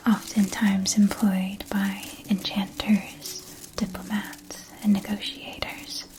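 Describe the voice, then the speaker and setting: A woman playing a kindly potion-supply shopkeeper in a scripted fantasy ASMR roleplay, speaking in a soft, breathy whisper with deliberate, gently explanatory delivery. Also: medium pitch, level tone, lightly acted, low-quality recording. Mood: soothing, helpful. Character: kindly female shopkeeper of magical witch-school potion supplies.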